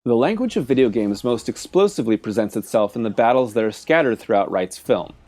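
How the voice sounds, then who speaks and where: Man narrating a video essay, lively intonation. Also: medium pitch, a little fast. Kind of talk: scripted film essay narration. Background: background music.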